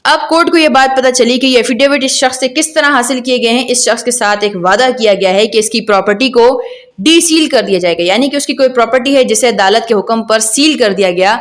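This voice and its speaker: A woman with a Pakistani accent giving an explanatory law lecture, didactic, often posing questions and answering them herself. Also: on the high side. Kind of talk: explanatory law lecture mixing Urdu and English.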